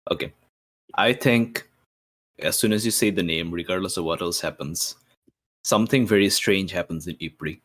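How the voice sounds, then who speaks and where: A man's voice: low voice, normal speed, fairly bright tone. A man taking part in a tabletop roleplaying game, chatting casually and offering suggestions to the other players.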